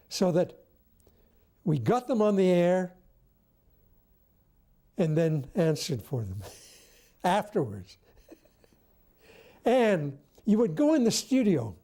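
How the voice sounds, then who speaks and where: Older male interviewee, unhurried and soft-edged, deliberate storyteller pausing between short phrases. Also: on the high side. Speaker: interviewee recalling radio drama history. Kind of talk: reflective storytelling.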